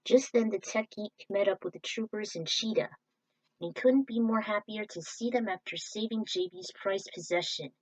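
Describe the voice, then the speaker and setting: A woman narrates her own fan story in an amateur recording. Her voice sits at a medium pitch and a bit back from the mic. She delivers it with drama and strong opinions. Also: animated, moderate pace, far from the mic, rough recording. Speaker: podfic narrator. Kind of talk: amateur fan fiction reading with commentary.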